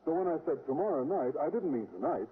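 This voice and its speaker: Bashful man an actor, highish animated voice. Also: fast, close to an everyday voice. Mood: earnest explaining. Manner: earnest, innocent, apologetic. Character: naive, mild-mannered, bashful male schoolteacher. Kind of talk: 1940s-50s radio sitcom acting. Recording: tinny vintage radio with faint noise.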